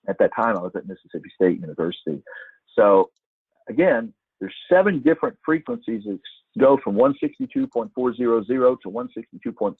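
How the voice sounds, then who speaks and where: Husky low-voiced guy in his fifties presenting a webinar, chatty, sounds like a phone line. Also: no room sound. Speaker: webinar presenter, former broadcast meteorologist. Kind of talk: informal webinar talk with anecdotes. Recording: muffled narrow phone-like line.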